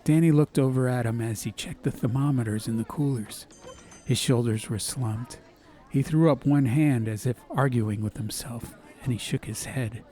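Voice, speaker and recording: A man's voice: medium pitch, warm tone. A man narrating a scripted story as an audiobook, with ambient noise behind his voice. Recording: background ambience noise under voice.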